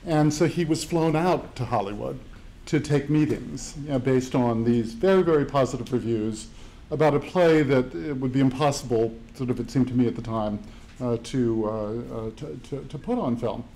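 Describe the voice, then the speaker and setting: A man moderating a panel discussion, talking haltingly and leaning on fillers as he tells an anecdote. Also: medium pitch, warm tone, low-quality recording. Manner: halting, full of "sort of" fillers. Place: campus event hall.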